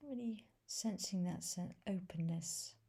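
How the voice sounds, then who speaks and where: Low, husky woman guiding meditation softly. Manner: soft, gentle, breathy delivery with long pauses. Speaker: meditation teacher. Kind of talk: guided body scan meditation.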